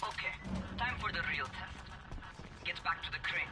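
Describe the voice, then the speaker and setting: An actor voicing a cocky young survivor who coaches in a video game, brash and slangy. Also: male voice, high voice, animated, normal speed, far from the mic, over loud music. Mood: instructing, impressed. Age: young adult. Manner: brash, slangy, barking instructions. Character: cocky young male survivor parkour trainer, teasing. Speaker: parkour trainer character in a video game. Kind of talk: video game dialogue acting.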